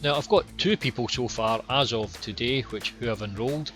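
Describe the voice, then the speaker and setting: Bright-voiced Scottish man explaining. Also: mid-range voice, faint music behind. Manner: plain, unhurried explaining with frequent ums and repeated words. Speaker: online course instructor. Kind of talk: screen-recorded course walkthrough.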